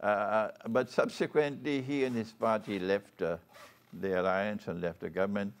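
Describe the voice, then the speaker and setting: An older man from Trinidad and Tobago with a Trinidadian accent and a fairly low voice. He speaks as a talk show host addressing a guest and viewers. His delivery is formal and deliberate, with measured phrasing. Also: steady tone, clean tone. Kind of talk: formal guest introduction and interview.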